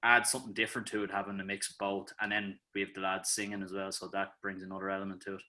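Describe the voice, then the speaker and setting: A man's voice: low-pitched, fast. A man with an Irish accent, a musician talking loosely on a video call about his band's music. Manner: relaxed, rambling. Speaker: band member. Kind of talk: casual interview chat.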